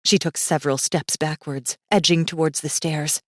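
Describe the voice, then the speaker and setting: A female audiobook narrator with a fairly low voice tells a fantasy story dramatically. Her delivery is suspenseful and tense. The recording is close and dry. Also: fairly even intonation, moderate pace, dry recording.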